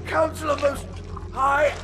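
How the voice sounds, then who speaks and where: Shrill pompous alien priest. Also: male voice, flat delivery, slow pace, music in the background. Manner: pompous, preaching, shouting. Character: old alien high priest, pompous, zealous. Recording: game score behind.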